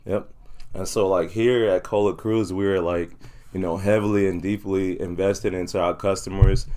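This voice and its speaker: Low voiced guy, podcast guest, chatty business owner talking casual, lots of you knows. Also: little pitch movement, normal speed, background noise. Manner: talkative, repeats "you know". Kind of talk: casual conversational interview.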